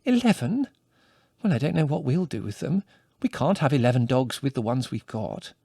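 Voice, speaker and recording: A man's voice: mid-range voice, expressive, moderate pace, creaky, mellow, breathy edge, no room sound. A man voicing a fussy middle-aged mother with a prim, scolding, flustered manner, in a dry recording. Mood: fussing.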